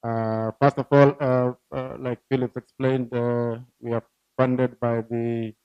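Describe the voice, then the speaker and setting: A man, a program team leader, giving a formal presentation at a donor event, speaking haltingly with many fillers and a volume that drifts up and down. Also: mid-range voice, level tone, clear, resonant tone, no echo, highs cut off.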